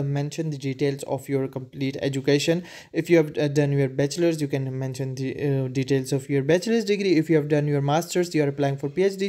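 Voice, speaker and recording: Tutorial voice-over: man, Urdu first language, fairly high, warm tone, halting with lots of "uh". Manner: hesitant, frequent filler sounds. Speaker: tutorial presenter. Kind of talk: screen-recorded walkthrough.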